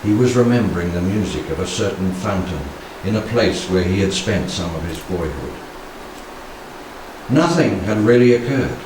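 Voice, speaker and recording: A man in his sixties reciting poetry solemnly, with measured, dramatic phrasing and pauses, into a handheld microphone in a room. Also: low-pitched, animated. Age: 60s. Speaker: poetry reader. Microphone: handheld stage microphone. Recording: very echoey room, distant, faint noise.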